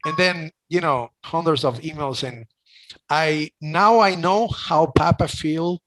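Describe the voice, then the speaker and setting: A man's voice: on the high side, takes it slowly, a bit bright, low-quality recording. A middle-aged man with a Cuban accent hosting a casual chat, talking emotionally with many restarts. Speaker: host.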